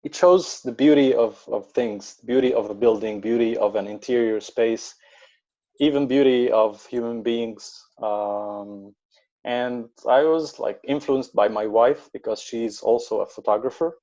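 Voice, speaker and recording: A man's voice: mid-range voice, a little slow, mellow, highs cut off. A man from Egypt with an Egyptian accent, speaking English as a guest photographer, talking in a hesitant way with many fillers. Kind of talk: casual interview chat.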